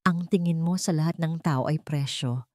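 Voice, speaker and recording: A proud young mother with a hurt heart, played by a woman storyteller. She is fiercely protective and emotional in radio-drama style, with a lowish voice. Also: normal speed, a little mellow, dry recording, clean studio sound, lightly acted. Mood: furious, defiant. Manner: defiant, emotional.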